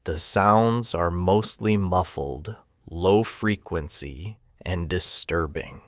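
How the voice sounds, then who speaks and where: A man narrating a documentary from a script, with a measured, ominous delivery. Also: sits low, no echo, studio quality.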